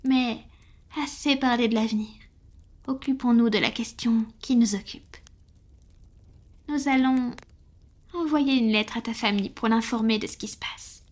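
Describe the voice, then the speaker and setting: Casting: actress as devoted, gentle vampire lover for roleplay audio; very breathy, often whispered, slow and intimate delivery. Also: female voice, on the high side, steady tone. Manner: slow, gentle, affectionate, with pauses. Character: tender, devoted female vampire in love with a mortal. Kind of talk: French roleplay audio, soft intimate ASMR acting.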